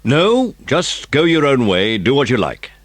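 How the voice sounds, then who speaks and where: A man playing a genial, confiding British police chief with dry amusement, measured and authoritative, in an audiobook drama. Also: medium pitch, wildly swooping pitch, no echo, voice pushed up. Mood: wry, assured.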